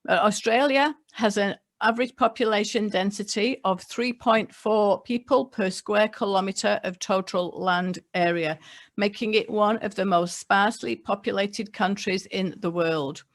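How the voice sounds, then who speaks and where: A middle-aged woman born in England, a local government leader giving a prepared webinar address in a measured way, reading figures from her notes with small slips. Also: mid-range voice. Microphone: computer mic on a video call.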